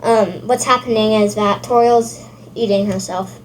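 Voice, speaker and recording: A woman's voice: mid-range voice, steady tone, a little slow. A woman hosting a reaction video, reading aloud and commenting as she watches. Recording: very noisy, video sound behind.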